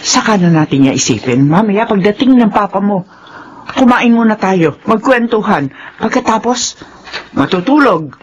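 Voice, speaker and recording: A woman's voice: mid-range voice, animated, normal speed, natural voice. Woman acting soothing Filipina mother figure, muffled sound. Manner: soothing, patient. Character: calm, placating motherly older woman of the household. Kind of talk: Filipino radio drama acting. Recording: dull, noisy radio sound.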